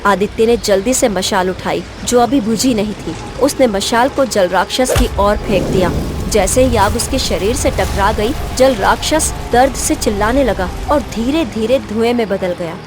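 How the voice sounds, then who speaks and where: A woman's voice: mid-range voice, moderate pace. A woman storyteller giving scripted, dramatic narration of a fairy tale. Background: background music.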